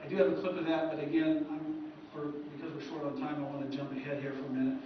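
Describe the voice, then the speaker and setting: A man's voice: on the high side, level tone, fast talker. A man giving an informal lecture to an audience as a presenter, rambling and hesitant with many stammered false starts. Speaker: convention presenter, radio historian. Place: echoey presentation room at a convention. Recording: roomy echo, distant mic, dull, hissy.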